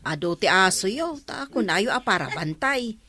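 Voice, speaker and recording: A woman's voice: medium pitch, sing-song, moderate pace, crowd noise, lightly acted. A woman playing a nosy, chatty village neighbour in a radio comedy drama, with an Ilocano accent.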